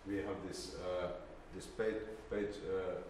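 A middle-aged man giving an informal seminar presentation in a halting, hesitant way. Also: sits low, level tone, darkish tone. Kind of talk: informal presentation with slide demonstration. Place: indoor seminar room with projector screen. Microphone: distant camera microphone. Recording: roomy, echoey, distant mic, faint noise.

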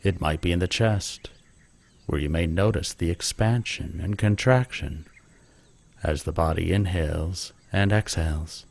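A man leading a guided meditation with gentle, calm instruction. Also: low-pitched, creaky.

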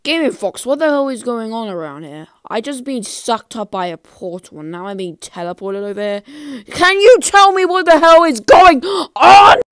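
An amateur voice actor delivering exaggerated, exclamatory web cartoon lines, swinging between muttering and yelling. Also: mid-range voice, animated, moderate pace, clear, resonant tone, dry recording.

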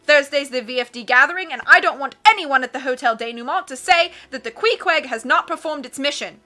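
A woman voicing a loud, hasty male submarine captain who cries out his lines and rambles, reading a storybook aloud. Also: sits high, a little fast. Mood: hearty bluster. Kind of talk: read-aloud storybook acting.

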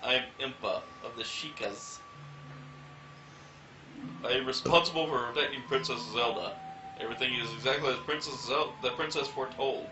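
A man voicing a stern female bodyguard from a video game in a deadpan, crude parody dub. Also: mid-range voice, moderate pace, pauses often, lightly acted. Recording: roomy, dull lo-fi with game music.

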